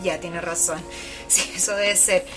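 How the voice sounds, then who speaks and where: A woman's voice: lowish voice, steady tone, unhurried, lightly acted. An actress playing a young Chilean professional woman, brusque and easily rattled, in a radio drama. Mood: rattled, resigned. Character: young female civil engineer, assertive, easily rattled and indignant. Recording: music behind the voice.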